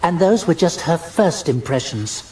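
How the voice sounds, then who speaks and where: A man's voice: on the high side, expressive, unhurried, a little mellow, pitched above the performer's own voice, low-quality recording. A male narrator voicing a stern, cold female elf warden who speaks in clipped, accusing lines. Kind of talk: audiobook character voice.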